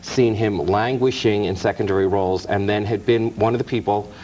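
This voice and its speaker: A man's voice: on the low side, fairly even intonation, fairly quick, darkish tone, slight background noise, low-quality recording. A man, a guest author, explaining film history in detail in an interview, with small restarts. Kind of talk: interview talk. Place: TV studio.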